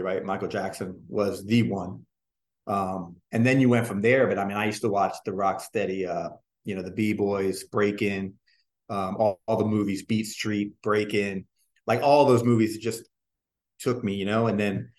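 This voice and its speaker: A man in his forties from New Jersey, telling stories from his life in a relaxed, rambling interview way with many fillers. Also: fairly low pitch. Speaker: guest, singer and dancer. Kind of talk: casual interview storytelling. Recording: roomy echo.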